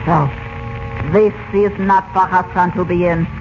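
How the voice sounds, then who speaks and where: An actress playing a timid, gentle young wife, speaking halting, formal broken English in a 1940s-style radio serial. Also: female voice, lowish voice, fairly even intonation, close to an everyday voice. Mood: hesitant, secretive. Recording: muffled old-radio sound, music behind.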